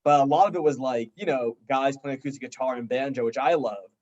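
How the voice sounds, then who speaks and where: A man's voice: medium pitch, fast, darkish tone. A man with an American accent chatting casually as an interview guest, acting out quotes as he tells stories, on slightly dull audio. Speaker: band member, interview guest. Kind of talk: casual chat.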